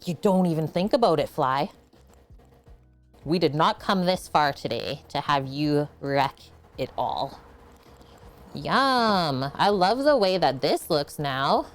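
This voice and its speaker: A woman's voice: deep voice, big pitch swings, slow, soft background music. A woman hosting a casual home cooking livestream, chatting as she cooks and answering viewer comments. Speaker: cooking show host. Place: home kitchen.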